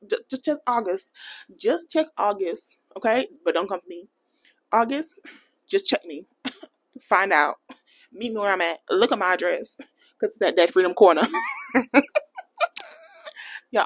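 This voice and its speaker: An American woman chatting casually in a budgeting vlog, animated and playful, laughing often and dropping into a Jamaican accent for bits. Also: mid-range voice. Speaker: budgeting vlogger.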